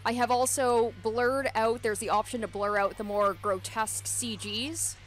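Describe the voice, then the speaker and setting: A woman's voice: a little high, steady tone, moderate pace. A woman hosting a let's play, reading a visual novel aloud and adding casual commentary. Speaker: let's play host and narrator.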